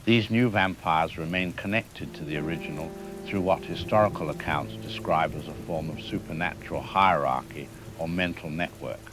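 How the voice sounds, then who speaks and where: A man playing a grave academic historian in a radio drama, speaking in a formal, measured, lecturing way. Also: low voice, background noise, lightly acted. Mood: concerned, grave. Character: grave male academic historian expert on vampire folklore. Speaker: expert interview guest.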